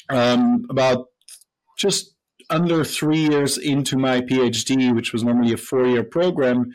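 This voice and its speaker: A man from Belgium in his thirties, a professor and company co-founder, answering interview questions in a relaxed storytelling way, with fillers and restarts. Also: medium pitch, rough recording.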